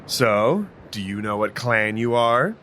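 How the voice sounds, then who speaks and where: A man's voice: lowish voice, animated, takes it slowly, a bit bright, slight background noise. A man playing an impatient, sardonic vampire mentor in an audio drama, speaking curtly. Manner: sardonic, brusque.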